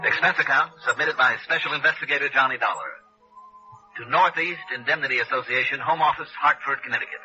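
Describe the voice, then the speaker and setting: An actor playing a hardboiled but decent American insurance investigator in a 1960s noir radio drama. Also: male voice, mid-range voice, quick pace, sharp tone. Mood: brisk, wry narration. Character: hardboiled male freelance insurance investigator, brisk yet decent. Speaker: lead actor and narrator. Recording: dull old radio broadcast, music behind.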